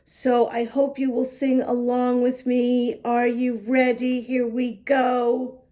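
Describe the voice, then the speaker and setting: A woman reading a picture book aloud to young children, expressive and instructive, with asides spoken straight to the little listeners. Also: fairly high pitch, slow pace, faint music behind. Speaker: children's story reader.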